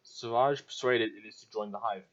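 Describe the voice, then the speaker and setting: A man's voice: lowish voice, fairly even intonation, fairly quick, dull audio. A man giving casual commentary on a game as a streamer.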